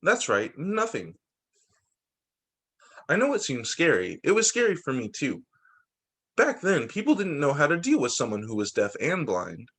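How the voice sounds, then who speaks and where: A man's voice: fairly high pitch, normal speed, pauses often, breathy edge. A man reading a picture book aloud to young students as their teacher, expressively and with small asides. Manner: animated, explains and checks in with young listeners.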